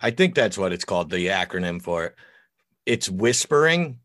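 A middle-aged American man with a deep voice, chatting casually and bluntly on a podcast as an opinionated, foul-mouthed guest. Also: sing-song, normal speed, a bit bright, no echo, studio quality. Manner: opinionated, blunt, crude joking. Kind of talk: casual, profane podcast chat.

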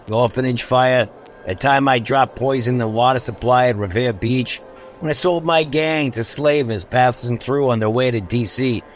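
A man's voice: medium pitch, moderate pace, soft background music, close to an everyday voice. An actor playing a gleefully cruel gangster boss in a radio drama, working a stage like a mocking showman.

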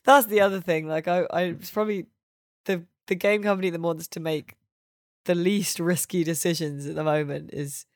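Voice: mid-range voice, steady tone, normal speed, no echo, studio quality. A podcast host, chatting casually and opinionatedly about games in an informal, sweary way.

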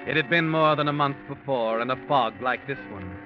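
Man acting an ominous narrator over loud music. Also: mid-range voice, fairly quick, lightly acted. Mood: grim, suspenseful. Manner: theatrical, foreboding. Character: mysterious, ominous night-walking man who narrates crime tales. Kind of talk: dramatic 1940s radio narration. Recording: muffled vintage radio, loud music behind.

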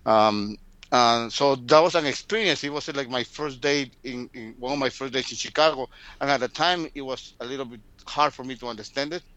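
A man's voice: medium pitch, fairly quick, fairly bright tone, no echo, muffled recording. A man in his fifties from Guatemala, a native Spanish speaker with a Guatemalan accent, gives reflective interview answers and now and then repeats words in a slight stutter. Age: 50s. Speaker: storyteller, interviewee.

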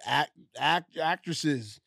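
Male podcast host, loose and excitable, slightly high-pitched, dry studio sound. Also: very slow, vocal fry, dry recording. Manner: hyped, loose and slangy, many restarts. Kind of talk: casual interview chat.

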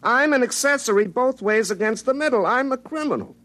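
A man's voice: extremely high pitch, animated, fairly quick, a bit bright, dry recording. An actor playing an earnest, hapless young telephone lineman, telling his own story in a folksy, self-dramatizing way in a comic radio drama. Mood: gloomy, agitated. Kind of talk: first-person comic radio drama narration and dialogue. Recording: classic radio show with music underneath.

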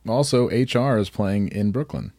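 Deep-voiced joking man. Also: moderate pace, dark tone. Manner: laughing often, joking, swearing. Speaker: podcast co-host. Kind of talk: casual chat.

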